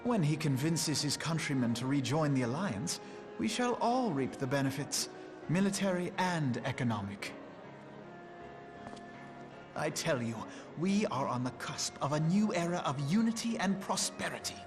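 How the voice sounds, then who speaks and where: A man's voice: on the high side, very animated, moderate pace. An actor playing a jovial, grandiloquent statesman in a fantasy video game, with a florid, oratorical delivery. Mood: buoyant. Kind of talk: theatrical fantasy game voice acting. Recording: loud music behind the voice.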